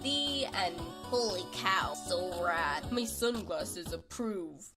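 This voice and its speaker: A woman's voice: lowish voice, lively intonation, takes it slowly, over music. A woman voicing a tough, slangy young girl fighter in a comic dub, talking in a brash, offhand way. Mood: breezy, smug.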